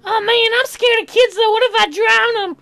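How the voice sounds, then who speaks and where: Very high-pitched, whiny, dim-witted young woman for a comic game, childish slangy grammar. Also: fairly even intonation, fairly quick, fairly bright tone, soft background music. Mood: dim protesting. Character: whiny, dim, food-obsessed young female colonist neighbor. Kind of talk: game character voiced in a let's play.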